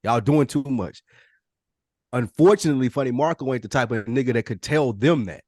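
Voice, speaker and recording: A man's voice: medium pitch, brisk, darkish tone, dry recording, studio quality. A man giving opinions as a podcast panelist, emphatic and slangy with some cursing, repeating phrases to drive them home. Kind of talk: opinionated casual discussion.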